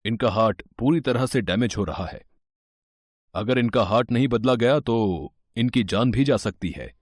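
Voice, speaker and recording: Man voicing a formal, measured doctor. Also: medium pitch, dry recording, studio quality, natural voice. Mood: grave. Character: serious, formal male hospital doctor. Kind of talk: cartoon story acting.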